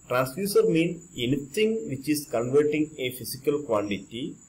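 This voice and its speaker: A man's voice: fairly high pitch, rough recording. A man with an Indian accent giving an informal explanatory lecture as an electronics teacher, repeating and rephrasing points in simple non-native English. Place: indoors, screen-recorded voice-over.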